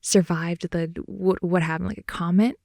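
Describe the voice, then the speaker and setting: A young woman improvising an intimate ASMR girlfriend roleplay, rambling in a playful, slangy way and slipping between soft whispers and more animated talk, close to the mic. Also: fairly low pitch, normal speed, vocal fry, no room sound. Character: wide-awake chatty girlfriend, silly and playful. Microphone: close microphone, dry sound.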